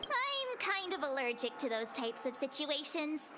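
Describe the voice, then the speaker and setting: Very high, perky girl adventurer. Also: a little slow, background noise. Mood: chatty, concerned. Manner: chatty, expressive, girlish. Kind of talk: English anime-style game voice acting. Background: game music.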